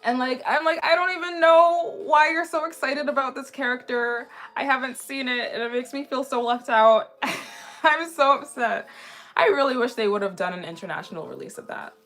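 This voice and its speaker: A woman in her twenties chatting casually on a livestream in a rambling way, slightly raspy from a sore throat. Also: high voice, moderate pace, a bit bright. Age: 20s. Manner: chatty, rambling, frequently restarting sentences. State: mildly sick with a sore throat. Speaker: anime livestream host. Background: background music.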